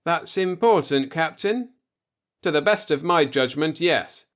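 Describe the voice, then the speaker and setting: A man's voice: on the high side, lively intonation, unhurried, a bit bright, pitched above the performer's own voice. A man voicing a cautious spaceman who sounds worried and deferential toward his captain, in a sci-fi audiobook. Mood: uneasy, cautious.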